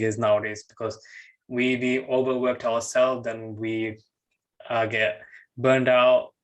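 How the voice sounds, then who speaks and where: Guy hosting a podcast, lots of likes and uhs, halting. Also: medium pitch, rough recording. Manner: halting, filler-heavy, many "like"s. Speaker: podcast host. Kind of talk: interviewing a guest.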